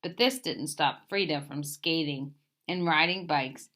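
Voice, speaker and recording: A woman's voice: deep voice, a little slow, fairly bright tone. A woman reads a children's picture book aloud as a storyteller, expressively, lifting the openings of phrases and settling at sentence ends.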